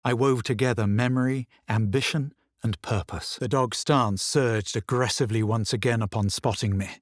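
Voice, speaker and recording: An actor playing a proud, self-assured adventurer in a fantasy game, with measured, theatrical delivery and a husky voice. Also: male voice, medium pitch, steady tone, no echo, clean studio sound, natural voice. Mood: brooding, grave. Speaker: player character voice actor. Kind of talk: dramatic scripted fantasy game lines.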